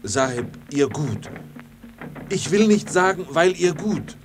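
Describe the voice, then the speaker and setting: An actor playing a fearful, submissive servant to explorers, husky and deferential, speaking halting broken German in a radio play. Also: male voice, fairly high pitch, big pitch swings, over music. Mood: reluctant, submissive. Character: fearful, submissive male native servant left behind with explorers.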